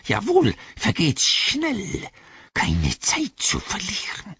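A sneaking, agitated storybook creature, speaks in a breathy, hissing rasp. The voice leaps high and often thins into whispers, repeating itself in clipped, urgent phrases. The sound is slightly dull. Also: male voice, wildly swooping pitch, slow pace, pushed and bright, full character voice. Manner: urgent repetitive muttering in clipped broken phrases. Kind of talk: audiobook character voice.

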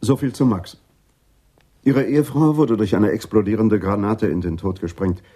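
An actor playing a dry, persistent police detective questioning a suspect in a radio drama, deadpan and probing. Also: male voice, on the low side, normal speed, lightly acted. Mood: stern, dry. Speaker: interrogating police officer.

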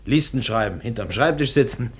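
An actor playing a brash, restless young railway trainee in a 1950s radio play, boastful and breezy. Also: male voice, mid-range voice, expressive, moderate pace, a bit bright, faint music behind, lightly acted. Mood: cocky, restless. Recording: 1950s radio recording, slightly distant.

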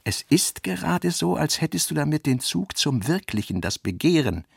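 A man narrating literary prose and its dialogue in a measured, articulate way. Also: a little high, very animated, vocal fry, breathy edge, dry recording, studio quality.

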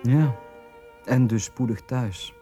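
A man's voice: medium pitch, slow, mellow, faint music behind, close to an everyday voice. An actor with a Flemish accent playing a stern, reserved aristocratic father and husband in a radio drama. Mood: calm, resigned.

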